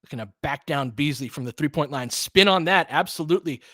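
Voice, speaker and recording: A man's voice: on the high side, fairly quick, fairly bright tone, no echo, clean studio sound. A man hosting an informal live play-by-play, commentating and analysing in a conversational, fan-like way as he chats with listeners. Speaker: live audio play-by-play host.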